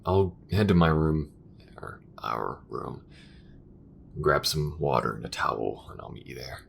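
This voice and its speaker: Guy playing tired sarcastic space crewman, super low crackly voice, kinda halting and muttery. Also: animated, creaky, full character voice. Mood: wry, playful. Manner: halting, conversational, muttering at times. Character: weary, grudging male spaceship crewman, caring but sarcastic. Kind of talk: intimate audio roleplay acting.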